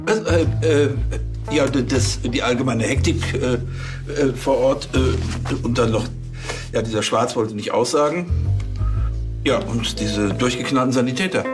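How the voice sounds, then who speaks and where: A man's voice: mid-range voice, measured pace, music in the background, natural voice. A man playing a fussy, flustered police officer in a TV crime comedy, colloquial and hesitant. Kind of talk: scripted TV comedy crime drama acting.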